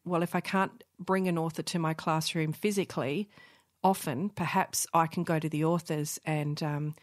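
A woman's voice: deep voice, steady tone, normal speed, no echo, studio quality. An Australian woman, a teacher and podcaster, speaking in an earnest, articulate way.

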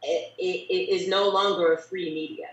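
A woman's voice: fairly low pitch, steady tone, moderate pace. A woman in her thirties making earnest, formally phrased points in a panel discussion over a video call. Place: bedroom at home. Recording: dull, roomy, distant video-call sound.

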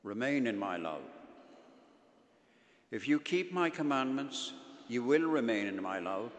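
A man's voice: mid-range voice, big pitch swings, a little slow. A man, a priest, reading the gospel and giving a conversational homily, joking with the congregation. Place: large church. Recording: echoey church with long reverb.

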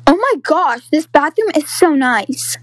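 A woman playing an excitable, easily frightened young camper girl in a dramatic roleplay. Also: sits high, measured pace, dry recording. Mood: excited. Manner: pleading, breathlessly dramatic.

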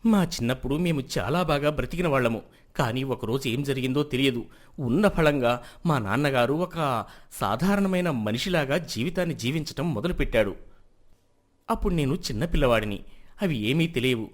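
An earnest young man who has been wronged, from a village moral tale, voiced by a man. He speaks respectfully with a varied, expressive pitch. His delivery is that of someone recounting his life. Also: normal speed, close to an everyday voice. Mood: earnest, sorrowful. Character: wronged young man confessing theft, earnest landlord's son. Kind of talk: dramatised moral story acting.

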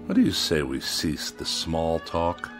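Snobby cranky writer in a radio play, super wordy and sarcastic, pitch swooping around, bit of music behind. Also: male voice, wildly swooping pitch, a little slow, fairly bright tone, exaggerated voice. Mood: contemptuous. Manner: pompous, wordy, sneering sarcasm. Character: haughty, irascible male writer who despises machines. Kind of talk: radio drama acting.

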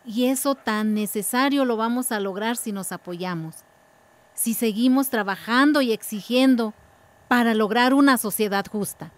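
A woman's voice: a little high, normal speed, close to an everyday voice. A woman playing a determined, earnest village woman speaking up in a radio drama. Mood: earnest, resolute. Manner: earnest, declarative.

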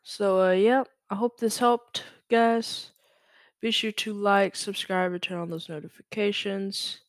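A young man from Louisiana hosting a casual, unscripted screen-share tutorial, halting and correcting himself as he goes. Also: high voice, steady tone, no echo. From Louisiana, United States. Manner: hesitant, halting, self-correcting. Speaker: tutorial host. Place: indoors at a computer. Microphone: USB microphone.

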